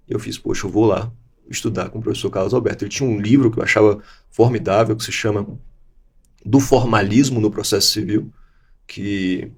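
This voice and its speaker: A man's voice: medium pitch, darkish tone, husky. A Brazilian man from Bahia, in his forties, recounting his career in a conversational interview, with an accent mixing Bahian and Gaúcho speech and frequent restarts. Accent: mixed Bahian and Gaúcho Brazilian Portuguese. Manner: reflective storytelling with frequent restarts. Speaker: interview guest, law professor.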